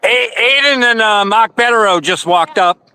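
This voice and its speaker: A man calling in from outside to a casual live stream, reporting in a relaxed, matter-of-fact way. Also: sits high, moderate pace, clean tone, no echo. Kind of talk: casual chat.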